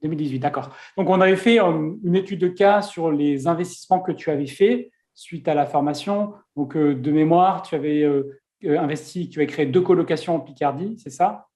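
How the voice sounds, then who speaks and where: High-voiced chatty man hosting. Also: fairly quick, a little mellow. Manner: chatty, many fillers and restarts. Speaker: host. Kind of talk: casual interview.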